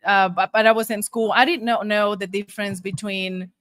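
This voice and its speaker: Middle-aged Mexican-born woman, native Spanish speaker, mid-pitched, conversational and emphatic guest, recorded dry. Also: steady tone, normal speed, a bit bright, dry recording. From Monterrey, Mexico. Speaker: guest, taco shop owner. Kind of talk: casual conversational storytelling.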